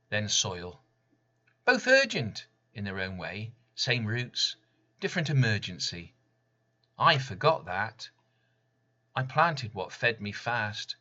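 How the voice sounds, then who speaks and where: A middle-aged man reading his own spoken-word poem in measured, emphatic, short clipped phrases. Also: medium pitch, sharp tone, muffled recording. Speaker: poet reading own work.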